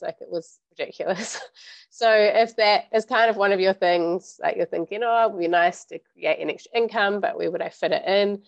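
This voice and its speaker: A woman in her thirties with a croaky voice from recent illness, chatting casually about her home business. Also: fairly low pitch, no echo. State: croaky voice, getting over illness. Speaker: business mentor host.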